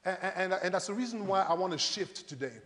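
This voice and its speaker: A man delivers a sermon in a notably high voice. He talks conversationally to his listeners, posing questions and retelling scripture as stories. Long reverb from a large hall trails behind his words. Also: animated, fast, a bit bright. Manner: conversational, rhetorical questions, addresses congregation directly. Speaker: preacher. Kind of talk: animated sermon with acted-out Bible stories.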